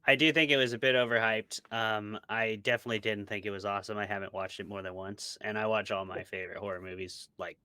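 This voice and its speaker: A man with a low voice and a bright, forceful edge talks in a casual interview. He offers opinions and works through examples in a conversational way. The sound is dry and close. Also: steady tone, quick pace, hardly any pauses, smooth, no echo. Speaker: interview guest, author and podcast co-host.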